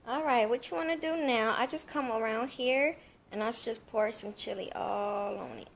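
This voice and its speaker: A woman's voice: fairly high pitch, moderate pace, creaky. A young woman chatting casually to viewers as she cooks in her home kitchen, filmed by a camera across the room. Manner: chatty, talks to viewers while cooking. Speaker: cooking vlogger. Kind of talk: casual cooking vlog. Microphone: fixed camera across the kitchen. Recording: echoey kitchen, far from mic, noisy.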